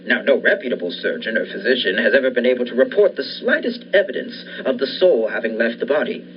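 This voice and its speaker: A man's voice: mid-range voice, lively intonation, fast, close to an everyday voice. A young man playing an obsessed, commanding scientist and surgeon in the style of a 1940s radio thriller. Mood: insistent, clinical. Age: young adult. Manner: commanding, insistent. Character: obsessed scientist surgeon bent on reviving his dead wife. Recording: dull lo-fi audio, faint noise.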